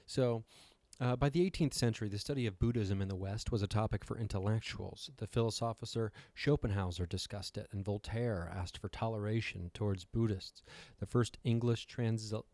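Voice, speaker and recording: Man, low-pitched, slightly raspy, relaxed tone, casual rambling monologue, wry asides. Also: normal speed, hardly any pauses, dry recording. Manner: wry, self-deprecating asides. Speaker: host giving a talk on meditation. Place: hotel room.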